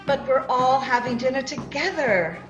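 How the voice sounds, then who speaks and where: A woman's voice: high-pitched, expressive, moderate pace, highs cut off. A woman acting as an eager, scheming young woman in a radio drama, recorded with echo over music. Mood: brisk, amused. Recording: echoey video-call recording.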